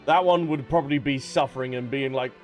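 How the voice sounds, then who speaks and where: A man's voice: mid-range voice, a little fast. A man streaming a video game, casually commenting on the dialogue in a playful, joking manner. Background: game music.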